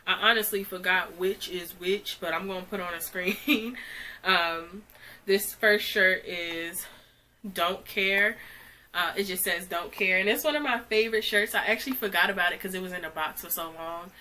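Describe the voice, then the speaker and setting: A woman's voice: fairly low pitch, normal speed, bright, forceful tone, a little hiss or hum. A young woman with a stuffy cold, chatting casually through a clothing try-on haul, rambling with lots of "like". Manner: chatty, rambling, uses "like" often. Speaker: fashion vlogger. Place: small bedroom, indoors.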